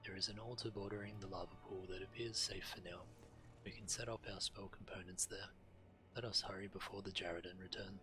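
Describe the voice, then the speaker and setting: Male actor as grave fantasy shaman, low voice, measured, deliberate, resourceful, video game, music behind. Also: steady tone, close to an everyday voice. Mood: urgent, focused.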